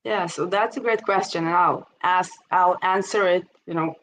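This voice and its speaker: A woman's voice: lowish voice, normal speed, fairly bright tone, lo-fi sound, a little hiss or hum. A middle-aged woman with an Israeli accent, a guest speaking casually on a video call and often saying "you know". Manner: conversational, many "you know" fillers. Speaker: interview guest, investor. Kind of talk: casual webinar conversation.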